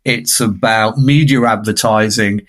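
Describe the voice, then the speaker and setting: A man's voice: on the low side, a little slow, a bit bright. A man speaking as an expert podcast guest, giving conversational analysis in a discursive way with emphatic repetition.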